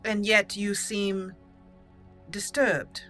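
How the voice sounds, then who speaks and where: A woman's voice: mid-range voice, little pitch movement, extremely slow, a bit bright, faint music behind. A woman doing casual roleplay game commentary, chatty and thinking aloud as she plays. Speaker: let's play host.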